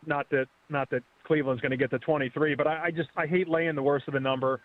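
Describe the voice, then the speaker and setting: A man in his thirties calling in as a betting guest, talking fast and casually, often restarting his words. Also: on the high side, steady tone. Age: 30s. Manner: quick, restarts words, chatty. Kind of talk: casual sports betting chat. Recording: phone line.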